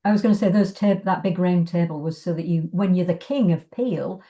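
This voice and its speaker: A woman's voice: fairly low pitch, a little fast, warm tone. A woman chatting on a video call about arts projects, informal and rambling. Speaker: arts organisation member describing past projects. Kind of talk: casual conversational explanation.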